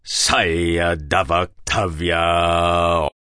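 A man plays a sect leader in a dramatized audiobook. His voice sits very low and close, with a dry sound. He intones with solemn, sardonic authority. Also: big pitch swings, a bit bright, dry recording. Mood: solemn chanting. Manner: slow, solemn intoned chanting. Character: male sect leader and sermonizer, solemn, sardonic, crude-mouthed.

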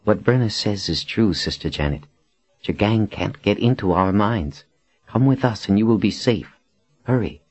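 Narrator as an anxious, husky man urging haste. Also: fairly low pitch, expressive, normal speed, darkish tone, no echo, voice pushed up. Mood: urgent reassurance. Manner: thin, tense whispering. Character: anxious, cautious male companion urging haste.

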